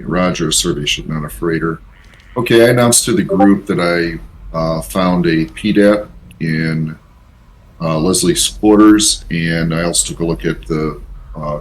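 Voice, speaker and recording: Deep-voiced man playing a tabletop game. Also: steady tone, moderate pace, low-quality recording. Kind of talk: casual game-table talk. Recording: faint background noise.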